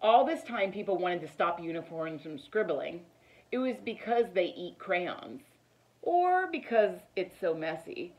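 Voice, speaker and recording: Expressive, slow-paced woman reading a storybook. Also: fairly low pitch, rough recording. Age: middle-aged. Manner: expressive, animated, deliberate storytelling. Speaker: teacher reading aloud. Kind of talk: children's picture book read-aloud. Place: living room, indoors.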